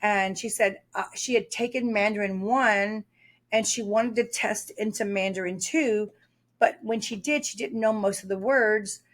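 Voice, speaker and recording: Female guest expert, mid-pitch, chatty storyteller with fillers. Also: unhurried. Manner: talkative, explains with stories, frequent fillers. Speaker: test prep author and guest. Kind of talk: podcast interview.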